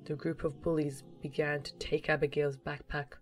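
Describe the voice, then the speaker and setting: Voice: mid-range voice, level tone, moderate pace. A narrator reading a scripted story for a fan audio drama.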